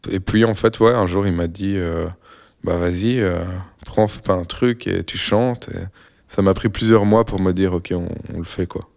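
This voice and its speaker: A young Belgian musician speaking in a low voice with little rise and fall. He answers interview questions casually, pausing often with fillers. His manner is relaxed and unhurried. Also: vocal fry. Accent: Belgian French. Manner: relaxed, hesitant, many fillers.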